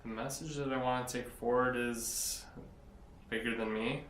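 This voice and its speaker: Young man, flat low voice, echoey room. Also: moderate pace. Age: 20s. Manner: earnest, rambling, searching for words. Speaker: interviewee, blogger. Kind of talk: casual interview. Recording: echoey room from a distance, faint noise.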